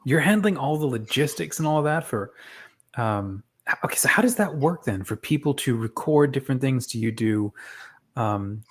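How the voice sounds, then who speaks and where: A man hosting a casual interview, asking informal questions in a halting, feeling-his-way manner. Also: medium pitch, expressive, husky. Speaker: interviewer, host.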